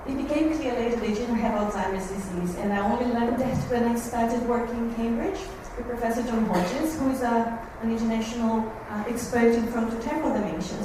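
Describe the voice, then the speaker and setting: A woman with a Brazilian accent addresses a room of families, earnest and a little nervous. Her voice sits a touch high. She is picked up from far across a very echoey room with steady background noise. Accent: Brazilian Portuguese. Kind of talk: talk to a support group. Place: meeting room, recorded from far off.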